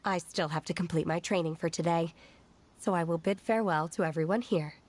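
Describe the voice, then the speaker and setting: A woman's voice: lowish voice, brisk, darkish tone, natural voice. A woman playing a polite, reserved young disciple in a video game, speaking earnestly and formally.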